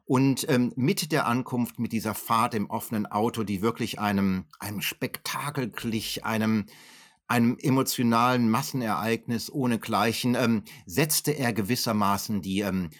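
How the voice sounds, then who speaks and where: Low-voiced man explaining, hesitant but articulate. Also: moderate pace. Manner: articulate, educated phrasing with frequent hesitations and self-corrections. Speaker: historian being interviewed as an expert. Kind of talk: explanatory interview answers, unscripted.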